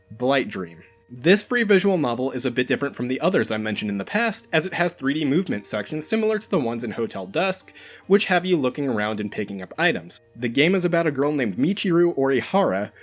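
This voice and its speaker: Man narrating a countdown with lively pitch. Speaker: host of a video game recommendation countdown. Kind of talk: scripted narration of a top list.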